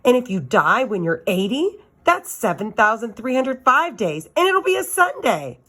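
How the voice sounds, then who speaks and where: A literal-minded girl genius from a children's novel, voiced by a woman. Her voice is lifted in pitch, and she speaks matter-of-factly with clear emphasis. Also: big pitch swings, moderate pace, a bit bright. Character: precocious, literal-minded girl math genius, about twelve.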